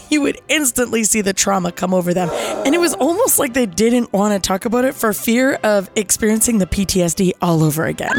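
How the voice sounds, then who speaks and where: Podcast host: woman, raspy, higher-pitched, lively swings in delivery, playful dramatic storyteller. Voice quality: raspy from overuse. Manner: playful, dramatic, salty-tongued. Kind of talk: animated storytelling to listeners.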